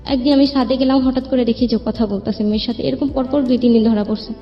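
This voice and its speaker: A young woman with a Bangladeshi accent and a fairly high voice recounts her own life in loose, everyday speech. She rambles as an interview guest. The sound is somewhat dull, with music underneath. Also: steady tone, fast. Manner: colloquial, rambling narrative. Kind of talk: personal storytelling in an interview. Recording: muffled lo-fi audio, music behind. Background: background music.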